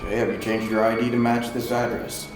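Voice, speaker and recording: A man's voice: mid-range voice, level tone, fast talker, lightly acted. A man playing a brusque, suspicious small-town police chief in an audio drama, pressing with curt, blunt questions. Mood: probing. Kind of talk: audio drama acting, interrogating. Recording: echoey room, loud music underneath.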